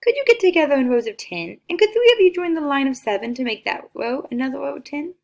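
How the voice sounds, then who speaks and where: A woman's voice: high-pitched, big pitch swings, fast talker, gravelly, a little mellow, voice pushed up, heavily acted. A woman reading aloud as a gracious, practical noblewoman who politely organizes guests.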